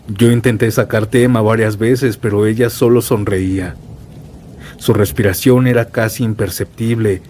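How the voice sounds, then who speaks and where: A man narrating scripted first-person horror stories in a solemn, dramatic way, as a storyteller. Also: on the low side. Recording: steady rain and thunder noise bed. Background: rainstorm with thunder.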